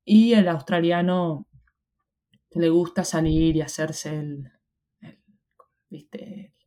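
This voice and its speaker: A woman's voice: low-pitched, takes it slowly, warm tone. A young woman from Argentina with an Argentine Spanish accent, chatting reflectively as an interview guest, with many fillers and restarts. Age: young adult. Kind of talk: casual interview chat.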